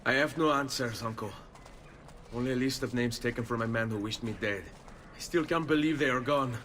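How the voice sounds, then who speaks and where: A man's voice: medium pitch, a little fast, fairly bright tone, a little hiss or hum, close to an everyday voice. An actor playing a grieving, resolute young Italian nobleman in a video game, speaking with an Italian accent in an earnest, restrained way. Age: young adult. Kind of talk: video game cutscene acting.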